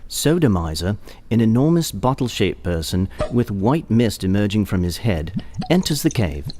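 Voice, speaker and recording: A man's voice: lowish voice, very animated, normal speed, dark tone, slight background noise. A man narrating a comic audio play as a matter-of-fact storyteller, reading from a script. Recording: music behind.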